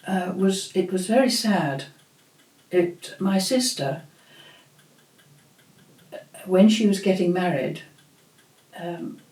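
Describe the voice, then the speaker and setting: Older woman host, slow and mellow, reflective storyteller, roomy echo. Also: fairly low pitch. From England. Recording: echoey room.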